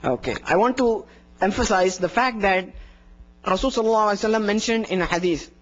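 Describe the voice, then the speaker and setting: A young man leads an indoor workshop, explaining technique step by step and demonstrating with his voice. His voice sits high for a man. He speaks into a handheld microphone on a dull, lo-fi video recording. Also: lively intonation, fast talker. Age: young adult. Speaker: adhan instructor. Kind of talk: workshop lecture. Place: indoor room in front of a plain wall. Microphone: handheld microphone plus lapel mic.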